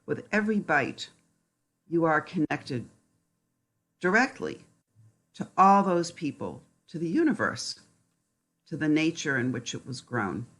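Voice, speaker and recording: A middle-aged woman reading gently and deliberately about mindful eating, in a calm meditative manner. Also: sits low, faint music behind.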